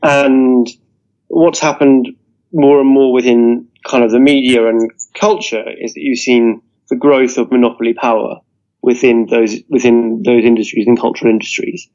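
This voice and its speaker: A man with a mellow, relaxed tone makes an earnest political argument. He works through his points steadily and often leans on "you know". The audio sounds dull and lo-fi. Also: mid-range voice, normal speed. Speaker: interview guest. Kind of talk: political discussion.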